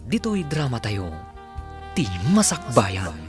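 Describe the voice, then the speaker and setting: A man's voice: mid-range voice, sing-song, slow. A man with an Ilocano accent announcing and narrating a scripted radio drama in a dramatic way. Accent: Ilocano, northern Philippines. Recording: music under the voice. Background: music.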